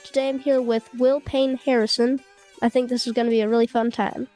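Nasal host with a head cold. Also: female voice, fairly high pitch, little pitch movement, fairly quick, warm tone, faint music behind. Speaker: podcast host. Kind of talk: interview hosting.

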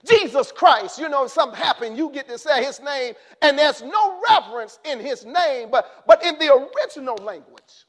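High-voiced impassioned male preacher. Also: animated, moderate pace, hardly any pauses. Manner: impassioned, rising to shouts then dropping low. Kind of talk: sermon.

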